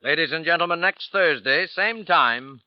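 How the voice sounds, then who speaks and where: A man's voice: a little high, normal speed, no room sound. A man narrating and signing off a 1940s radio drama in a formal, dramatic style. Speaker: program announcer. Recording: dull vintage radio recording. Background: music.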